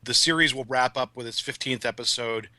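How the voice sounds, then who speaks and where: A man talks casually as a podcast guest, with a crisp, bright edge to his voice. He is articulate and enthusiastic, like a writer discussing his work. The sound is very dry, with no room around it. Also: mid-range voice, brisk, no room sound. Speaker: guest, writer of audio dramas.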